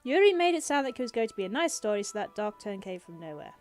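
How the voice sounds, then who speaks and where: A woman's voice: fairly high pitch, big pitch swings, fast, soft background music. A woman streamer with a sarcastic, theatrical manner, reading out game dialogue and commenting on it. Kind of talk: livestream game playthrough commentary, reading dialogue aloud with reactions.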